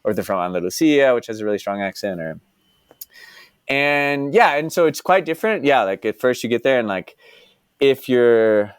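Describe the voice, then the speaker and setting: A man's voice: mid-range voice, expressive, normal speed, clear, resonant tone, dry recording, studio quality. A young man from Dallas, chatting casually as a podcast guest, rambling and filling his talk with "like" and "you know". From Dallas, United States. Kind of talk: casual interview chat.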